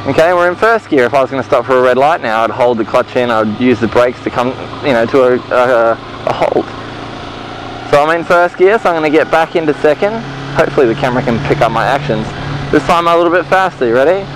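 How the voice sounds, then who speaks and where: A man teaching motorcycle gear changes while riding slowly around an outdoor car park, talking casually through a helmet mic with lots of fillers and restarts. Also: mid-range voice. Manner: relaxed, rambling, many fillers and restarts. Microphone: helmet-mounted mic with visor down. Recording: muffled helmet echo with engine noise.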